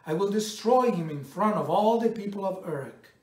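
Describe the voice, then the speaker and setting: A man's voice: high-pitched, animated, moderate pace. A man reading a storybook voices a proud, cruel demigod king, commanding and boastful. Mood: boastful, furious. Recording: roomy echo, distant microphone.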